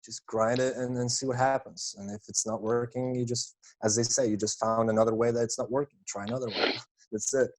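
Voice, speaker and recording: Laid-back young man, flat tone. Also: medium pitch, fairly quick, hardly any pauses, low-quality recording. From Lithuania. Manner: relaxed, laid-back, calls people bro. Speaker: podcast guest. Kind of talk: casual chat.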